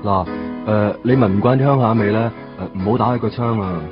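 A man's voice: fairly low pitch, fairly quick, natural voice. An actor playing a chatty, goofy young male editor in a Hong Kong Cantonese radio drama, rambling eagerly. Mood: fussy. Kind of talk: 1990s radio drama acting. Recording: dull lo-fi radio recording, faint music.

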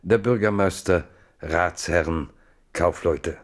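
A man with a low voice narrates a historical radio play. His delivery is measured and literary, clearly scripted storytelling.

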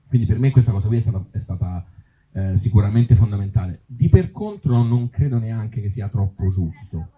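A man with a Tuscan accent talking informally at a live event as an actor and author, in halting, circling phrases with blunt joking asides. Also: on the low side, animated, narrow, tinny audio. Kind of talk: informal live talk with the audience. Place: outdoor evening event in an olive grove.

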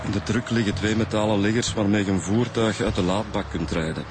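Actor as a low-voiced Flemish detective. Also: male voice, steady tone, fairly quick, natural voice. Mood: matter-of-fact. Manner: plain, dry. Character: dry, matter-of-fact male police detective reporting findings. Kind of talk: radio drama acting. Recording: clear outdoor background noise.

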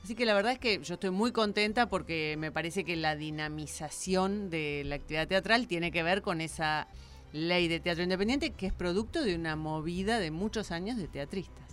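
A low-voiced Argentine woman talks in a casual radio interview. She speaks as a theatre director, building long explanations and often pausing to find the next phrase. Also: faint music behind.

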